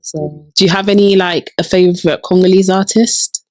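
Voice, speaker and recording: A woman's voice: fairly low pitch, fairly even intonation, moderate pace, no echo. Woman, 30s, playful podcast host, dry close mic. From United Kingdom. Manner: chatty, playful, lots of encouraging backchannels. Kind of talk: casual interview chat.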